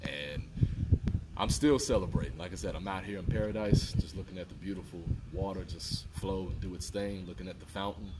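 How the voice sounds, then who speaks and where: A man's voice: sits low, fairly quick, far from the mic, heavy background noise. A man in his thirties giving an earnest, repetitive motivational talk outdoors. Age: 30s. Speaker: fitness vlogger. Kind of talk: casual motivational talk to camera. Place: outdoors by water and a fountain.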